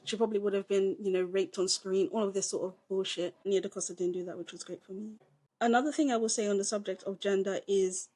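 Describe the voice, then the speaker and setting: A young woman with a British accent reviews films on a podcast. She talks in casual chat, punching her points with emphasis, her voice sitting at an ordinary middle pitch. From Britain. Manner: emphatic, opinionated, animated delivery. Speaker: podcast co-host, film reviewer.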